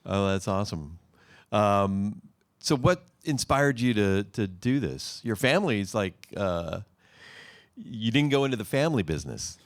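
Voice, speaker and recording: A male interview host with a fairly low voice, recorded dry and close on a studio mic. He asks questions in a friendly way, with short fillers between them. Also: animated, unhurried, dry recording. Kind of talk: interviewing a musician.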